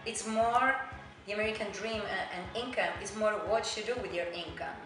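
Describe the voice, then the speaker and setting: A middle-aged woman with a strong Argentine Spanish accent chats loosely about her life, dropping plenty of "you know"s. Her voice sits at an ordinary pitch for a woman. The recording rings with heavy room echo, and clear music plays behind her. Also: moderate pace. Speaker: immigrant interviewee. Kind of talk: casual oral history interview.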